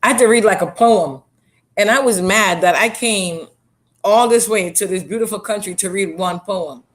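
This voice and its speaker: A woman's voice: mid-range voice, normal speed. A Trinidadian woman speaks in a mid-range voice, blunt and emphatic. She is an author and motivational speaker in a candid interview, driving her points home by repeating them. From Trinidad and Tobago.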